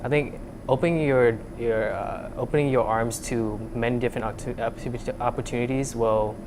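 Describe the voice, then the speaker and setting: A man's voice: medium pitch, steady tone, normal speed, noisy background. Young man from Vietnam in a humming lab. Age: young adult. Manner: many fillers and restarts, earnest. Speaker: student researcher. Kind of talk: casual interview. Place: lab room.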